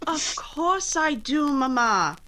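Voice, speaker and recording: A woman playing a proud, sensible young Regency daughter in a 1940s-style radio drama, measured and earnest. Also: sits high, very animated, bright, forceful tone, full character voice. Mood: patient pleading. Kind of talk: recreated 1940s radio play acting.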